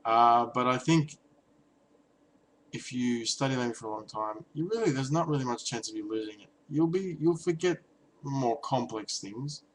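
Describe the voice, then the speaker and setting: A man's voice: medium pitch, moderate pace, slight background noise, low-quality recording. A young man casually vlogging about language learning, hesitant and reflective, with many restarts and ums. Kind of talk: casual talking-head vlog.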